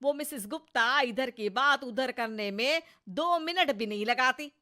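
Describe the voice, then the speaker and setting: A woman's voice: high-pitched, a little slow, bright, forceful tone. A woman voicing a scolding, sarcastic mother-in-law in her sixties for an acted moral story. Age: 60s. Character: scolding, nagging sixty-year-old Indian mother-in-law.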